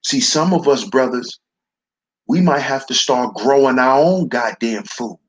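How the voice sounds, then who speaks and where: A man with a baritone voice hosting a slow, preachy commentary monologue, calling his listeners brothers. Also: slightly rough. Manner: preachy, addresses listeners as brothers, repeats "see". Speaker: commentary channel host.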